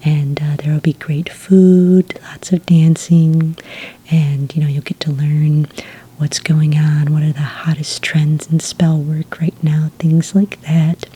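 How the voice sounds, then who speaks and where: A woman speaks in a low, extremely breathy whisper with a soft, dark tone. She plays a friendly witch working a hotel front desk in an ASMR roleplay. Her manner is gentle, polite and helpful. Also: flat delivery, slow. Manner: gentle, polite, customer-service phrasing.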